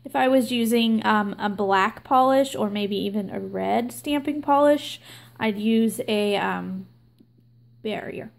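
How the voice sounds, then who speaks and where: A young woman hosting her own nail art channel, explaining a stamping tutorial slowly and with many ums. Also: medium pitch, gravelly. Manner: slow, filler-heavy explaining with many ums. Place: indoors at a table, hands filmed from above.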